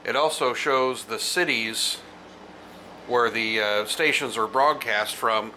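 Bright-voiced man, casual show-and-tell. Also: mid-range voice, slow pace, slight background noise, poor audio. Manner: casual, explanatory. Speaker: vintage electronics channel host. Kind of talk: informal product show-and-tell narration.

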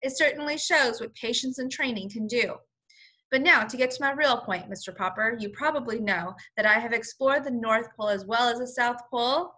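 A woman's voice: fairly high pitch, a little fast, sharp tone. A woman voicing a hearty, kindly bearded admiral in a storybook, with emphatic, stately delivery. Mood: genial, earnest.

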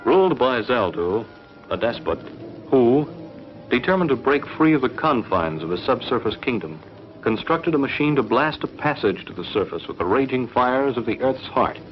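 A man's voice: mid-range voice, big pitch swings, moderate pace. A man delivering dramatic scripted narration for a 1950s serial. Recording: muffled 1950s TV soundtrack.

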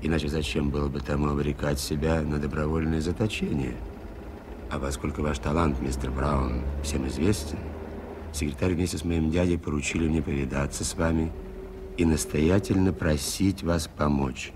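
An actor playing an earnest, skeptical aviator in a radio play, with a gravelly voice and a measured, formal, dryly ironic manner. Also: male voice, rumbling low, steady tone. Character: earnest, skeptical gentleman aviator recounting a mystery. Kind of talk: Soviet radio play acting. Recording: faintly noisy 1980s radio recording.

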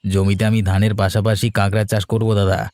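A man's voice: fairly low pitch, flat delivery, fast, darkish tone, no room sound, close to an everyday voice. A man playing an earnest, plain-spoken village rice farmer and father in an educational cartoon.

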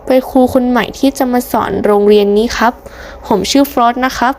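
A woman's voice: fairly high pitch, fairly even intonation, brisk, darkish tone, slight background noise, close to an everyday voice. A woman voicing a polite new male teacher in a cartoon story, with a formal way of talking. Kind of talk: hobby cartoon story dubbing.

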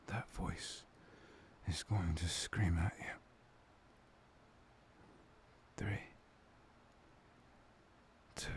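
A man playing a soft, loving boyfriend in an intimate ASMR roleplay, half-whispering breathily and soothingly up close to the mic. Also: low voice, creaky, full character voice. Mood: soothing, earnest. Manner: slow, pausing, soothing. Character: soft, playful, loving boyfriend, tender and reassuring. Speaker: audio roleplay performer. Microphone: close microphone.

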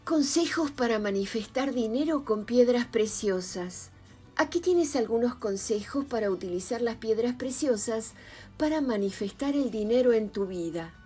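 Mellow higher-voiced woman narrating calmly. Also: moderate pace. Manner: calm, even advice-giving read. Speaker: channel narrator. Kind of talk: scripted voice-over narration. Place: voice-over over stock footage. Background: soft background music.

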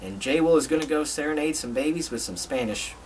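A man's voice: on the high side, expressive, slight background noise, low-quality recording. A young man in a small bedroom giving a casual TV review vlog to a webcam, with loose restarts. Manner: unhurried, loose delivery with restarts. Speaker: video reviewer.

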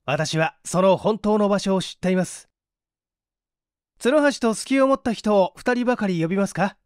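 A man voicing a calm, self-assured gentleman detective in a story reading, measured and explanatory. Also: high voice, no room sound, clean studio sound, pitched above the performer's own voice, close to an everyday voice.

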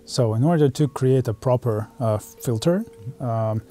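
Male interview guest, 30s, dark relaxed tone, halting explanatory delivery. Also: medium pitch. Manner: halting, choppy phrasing. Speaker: product manager, interview guest. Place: studio room with curtains and audio gear.

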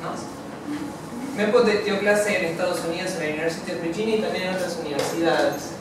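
A man's voice: sits high, quick pace. A man introduces a guest, hesitating with many fillers. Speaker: host, interviewer. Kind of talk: presenting a guest. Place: classroom. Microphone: far camera. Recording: echoey classroom from far off, loud noise.

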